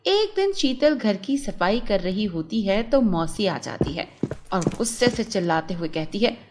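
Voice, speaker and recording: A woman narrating a children's cartoon story in an expressive storytelling manner. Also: background noise. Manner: slow, lively-pitched storytelling.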